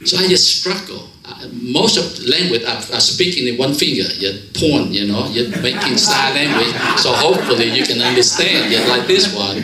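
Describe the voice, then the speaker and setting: A man's voice: medium pitch, animated, normal speed, few pauses, echoey room, a crowd in the background, rough recording. A man in his fifties from Vietnam with a Vietnamese accent, telling his life story at a men's fellowship in broken English, with jokes. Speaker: guest speaker. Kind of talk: personal testimony speech. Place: church fellowship hall.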